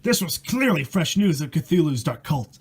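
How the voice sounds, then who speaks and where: A man's voice: fairly high pitch, very animated, normal speed, breathy edge. A man reading a rhyming horror story aloud in a dramatic, irreverent way, stumbling over words and swearing in casual asides. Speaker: amateur storyteller and host.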